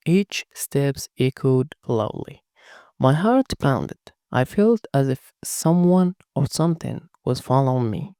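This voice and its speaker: A narrator telling a first-person horror story in a hushed, dramatic scripted reading. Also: mid-range voice, no echo, clean studio sound.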